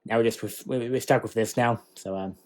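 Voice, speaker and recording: Exasperated ranting man, mid-pitched. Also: steady tone, a little fast, darkish tone. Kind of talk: comedic commentary asides.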